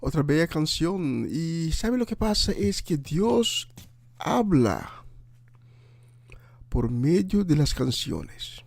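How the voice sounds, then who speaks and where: Animated, higher-voiced man preaching on air. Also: creaky. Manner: halting and emphatic, with repetition. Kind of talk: informal on-air preaching and greetings.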